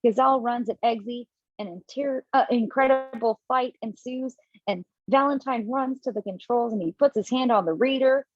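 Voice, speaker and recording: A woman's voice: fairly high pitch, moderate pace, no echo, dull audio. Casual woman reading stage directions. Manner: casual, chatty reading with asides and self-corrections. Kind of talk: amateur table read narration.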